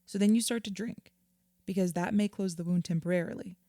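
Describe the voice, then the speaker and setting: A woman acting a patient, earnest therapist in an audio drama, measured and reassuring as she explains. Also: fairly low pitch, dark tone, no room sound, clean studio sound, close to an everyday voice. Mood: empathetic, explanatory. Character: patient, earnest female therapist counselling a client.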